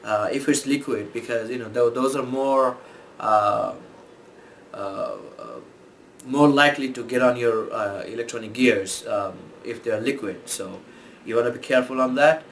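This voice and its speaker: A young man from Bangladesh talks through photo tips in an easygoing, meandering way, his voice sitting at an ordinary middle pitch. He pauses often with ums and restarts his sentences. A faint hiss lies under the recording. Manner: relaxed, rambling, many fillers and restarts. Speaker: photographer and host. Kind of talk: casual explainer talk.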